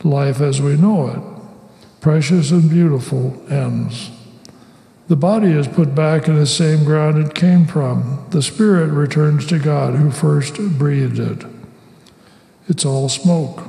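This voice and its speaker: A man reads scripture slowly and deliberately, his voice gravelly and dark, set a little high for a man. The sound rings through a large hall with long, roomy reverb. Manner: slow, measured, gravelly delivery. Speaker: scripture reader. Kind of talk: slow scripture reading. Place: church sanctuary.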